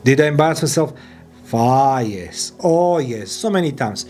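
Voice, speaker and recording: A man's voice: mid-range voice, lively intonation, unhurried, a little mellow, soft background music. Emphatic man, motivational talk. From Croatia. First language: Croatian. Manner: emphatic, repetitive, non-native phrasing. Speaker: podcaster and business owner. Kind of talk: motivational monologue.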